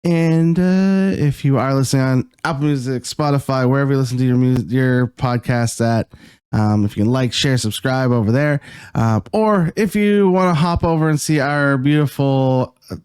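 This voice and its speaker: A man from Canada, co-hosting a podcast in a halting casual chat, often laughing. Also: mid-range voice, a little mellow, clear, resonant tone, no echo, studio quality. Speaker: podcast co-host.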